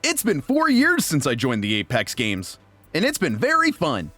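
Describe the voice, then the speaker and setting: A man's voice: a little high, huge pitch swings, normal speed, sharp tone, no echo, soft background music, exaggerated voice. Guy doing an upbeat innocent robot telling his story, super bouncy intonation, close dry sound. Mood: earnest, upbeat. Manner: earnest, guileless, upbeat. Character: cheerful naive friendly male robot. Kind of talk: audiobook narration in character.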